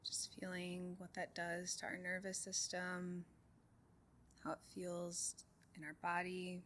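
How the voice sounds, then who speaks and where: A woman leading a guided meditation in a calm, soothing way, giving soft instructions with unhurried pauses. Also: on the low side, a little hiss or hum. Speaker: meditation group leader.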